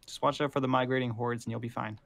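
A man's voice: mid-range voice, level tone, fast talker, creaky, a bit bright, dry recording. A man bantering casually while improvising roleplay in an online game. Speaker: roleplayer in a Minecraft zombie series.

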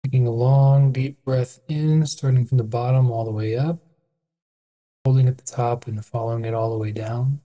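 A meditation guide with an adult voice, leading a body scan in a calm, unhurried, gentle way with pauses. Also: medium pitch.

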